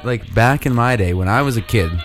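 A man's voice: mid-range voice, a little fast, over music. A man co-hosting a casual podcast, wry and steering the segments, launching into rants. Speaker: podcast co-host.

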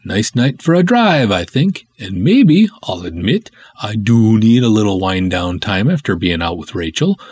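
An excitable male cop, acted out by a man from a pulpy serial story. He chatters in folksy slang with a pitch that leaps about, a little husky and recorded dry. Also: a little slow, dry recording, heavily acted. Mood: chatty. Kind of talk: dramatic serial reading.

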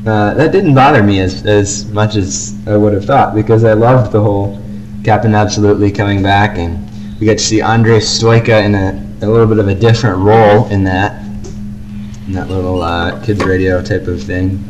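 A man's voice: lowish voice, fairly even intonation, moderate pace, over music. A man co-hosting a casual fan podcast, talking about things he likes with effusive enthusiasm. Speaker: podcast co-host. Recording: noisy amateur podcast recording.

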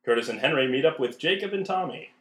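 A man's voice: on the high side, expressive, fast, a bit bright. A man reading scripted narration for a radio play, recorded in an echoey room.

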